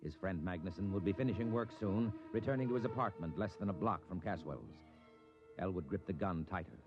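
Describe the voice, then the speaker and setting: A man giving measured, ominous narration in the style of a 1950s radio drama. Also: deep voice, animated. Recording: dull vintage radio, music underneath. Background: music.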